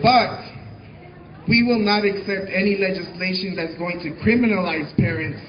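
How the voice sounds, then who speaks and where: A young man from Mexico giving an impassioned, emphatic speech at an outdoor protest rally, using a handheld mic through a loudspeaker. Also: sits high. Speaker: protest speaker. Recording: echoey, dull live-stream audio.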